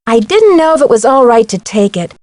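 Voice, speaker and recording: A woman's voice: fairly high pitch, sing-song, fairly quick, a little mellow. A woman voicing an inquisitive young female detective in a video game, speaking earnestly and neatly as she explains things. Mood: earnest, curious. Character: bright, inquisitive teenage girl amateur detective.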